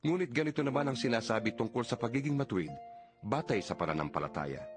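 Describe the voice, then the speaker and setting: A man reads scripture as a dramatized audio Bible narrator, in an earnest, measured, expository way. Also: medium pitch, expressive. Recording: music under the voice. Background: background music.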